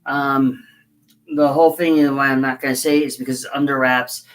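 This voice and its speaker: Hoarse woman rambling while she works. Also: a bass voice, steady tone. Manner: rambling, halting, with frequent fillers. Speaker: scale model builder streaming. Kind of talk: casual livestream chat while working.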